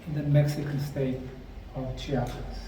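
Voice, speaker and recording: A middle-aged man slowly narrating a documentary on location at ancient ruins, explaining the sites like a tour guide. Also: medium pitch, level tone, background noise. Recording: roomy, distant mic with faint outdoor ambience.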